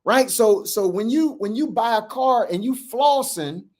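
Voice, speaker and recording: A man's voice: very high voice, expressive, measured pace, a little mellow. A man in his fifties speaking earnestly in casual conversation, repeating phrases for emphasis with a lilt. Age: 50s. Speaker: podcast co-host.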